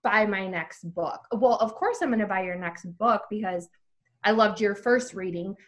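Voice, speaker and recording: A woman's voice: medium pitch, normal speed. A woman, a listener guesting on a book podcast, talking in a chatty way and acting out little quotes as she goes. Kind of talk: casual podcast chat.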